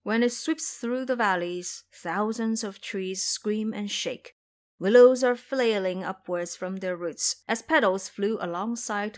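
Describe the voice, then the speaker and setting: A woman's voice: medium pitch, close to an everyday voice. A woman voicing a timid, earnest male monk and master, fretful in manner, in an audio drama.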